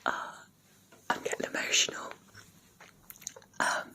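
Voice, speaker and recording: A young British woman whispering personal memories in a soft, rambling, sentimental ASMR style with many ums. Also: takes it slowly. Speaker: ASMR creator.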